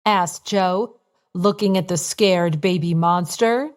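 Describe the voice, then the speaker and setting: A woman reading a scripted bedtime story for young children in a simple, expressive storytelling manner. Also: on the low side, takes it slowly.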